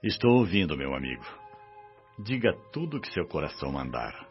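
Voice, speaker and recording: A wise fatherly minister in a radio play, really deep voice, swoops up n down, welcoming. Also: very animated, full character voice. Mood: welcoming, genial. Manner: paternal, welcoming, measured and sententious. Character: benevolent, wise government minister of the spirit world. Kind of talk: radio drama acting. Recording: dull lo-fi radio drama with music.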